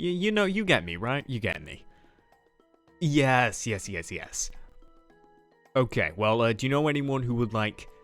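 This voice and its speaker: A man's voice: mid-range voice, a bit bright. A man playing a world-weary, sarcastic final-year student in a casual roleplay, muttering hesitant asides. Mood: friendly, hesitant. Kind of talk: casual improvised Minecraft anime roleplay. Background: music.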